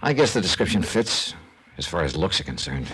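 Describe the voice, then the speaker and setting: An actor playing a shrewd, skeptical insurance investigator in a 1950s American radio drama, with clipped, wry, hard-boiled delivery. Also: male voice, low-pitched, big pitch swings, a little fast, a bit bright, a little hiss or hum. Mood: cool, skeptical.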